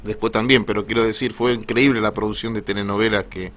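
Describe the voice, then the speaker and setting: A man's voice: fairly low pitch, steady tone, quick pace, fairly bright tone. A man with an Argentine accent hosting a conversational radio interview, agreeable and often repeating short affirmations. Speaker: radio host interviewing a guest.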